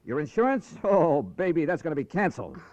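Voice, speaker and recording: Hardboiled American detective, swooping delivery. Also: male voice, a little high, huge pitch swings, a little fast, a little mellow, no room sound. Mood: stern, indignant. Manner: clipped, slangy, hardboiled delivery. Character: tough, wry American insurance investigator, hardboiled radio hero. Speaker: lead actor and narrator. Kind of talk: 1950s radio drama acting. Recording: dull vintage radio recording with music.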